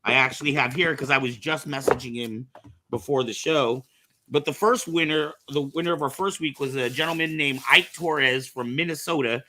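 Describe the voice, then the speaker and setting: A man hosting a talk show, chatting casually in an animated, giggly and excitable way. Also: medium pitch, moderate pace, background noise. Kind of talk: casual podcast chat.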